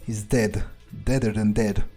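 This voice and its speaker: A man doing in-character game commentary, thinking aloud in a deliberate, dryly musing manner. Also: lowish voice, steady tone, warm tone, faint music behind. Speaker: let's player role-playing a vampire character.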